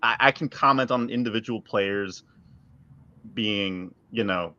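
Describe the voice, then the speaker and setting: Man, sports podcast co-host, bright forceful voice, opinionated, casual banter, dull close recording. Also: lowish voice, a little slow.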